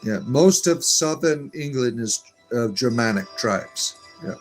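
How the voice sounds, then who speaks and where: Guy on a live stream chatting through viewer comments, mid-pitched voice, rambly, faint music underneath. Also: lively intonation, unhurried. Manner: reads comments aloud and answers them in a meandering, chatty way. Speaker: livestream host reading viewer comments. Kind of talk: casual live-stream chat.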